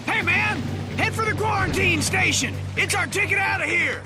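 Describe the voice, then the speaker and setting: An actor playing a brash, wisecracking slacker sidekick in a video game, with a loose, slangy, joking manner. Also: male voice, extremely high pitch, normal speed. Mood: excited. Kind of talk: scripted game dialogue. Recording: loud game music behind.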